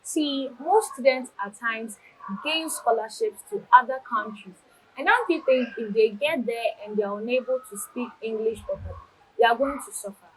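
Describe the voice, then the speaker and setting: A woman's voice: fairly high pitch, moderate pace, a little hiss or hum, low-quality recording. A woman hosting a TV show, questioning students and pressing them with follow-up questions. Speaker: host.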